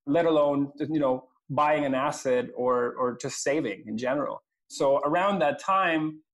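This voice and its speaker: Guy talking about his company, earnest, lots of uhs. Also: medium pitch. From Venezuela. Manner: earnest, explanatory, frequent "uh" restarts. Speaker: company president, guest. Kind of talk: interview answers.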